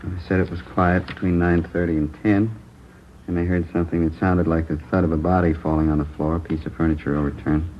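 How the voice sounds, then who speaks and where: A man's voice: deep voice, a little fast, a little hiss or hum, lightly acted. An actor playing a male police lieutenant who steadily reports what neighbors heard on a murder night, in a 1950s radio crime drama. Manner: steady, methodical report reading. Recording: dull old radio broadcast recording.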